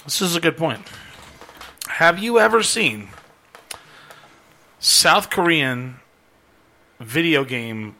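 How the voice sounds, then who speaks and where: A man hosting a live-streamed chat show, rambling loosely with casual swearing and a voice going hoarse. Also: fairly high pitch, lively intonation, takes it slowly, long pauses, sharp tone. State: losing his voice. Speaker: podcast host.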